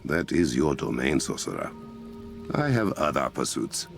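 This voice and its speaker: A man's voice: a bass voice, very animated, measured pace, gravelly, soft background music, heavily acted. An actor playing a proud, stern African-accented warrior king in a video game, formal and commanding. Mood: stern, controlled. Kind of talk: cinematic video game acting.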